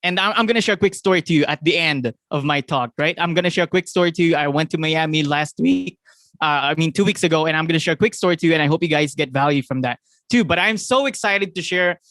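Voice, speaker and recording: A young man with a Filipino accent giving an excited, fast motivational talk to his sales team, often asking "right?" and laughing. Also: fairly high pitch, dry recording. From Philippines. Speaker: network marketing team leader.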